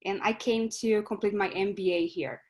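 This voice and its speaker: A woman's voice: mid-range voice, normal speed. A woman from Brazil with a Brazilian Portuguese accent, giving an earnest, slightly hesitant self-introduction over a video call. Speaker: club member introducing herself. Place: online meeting.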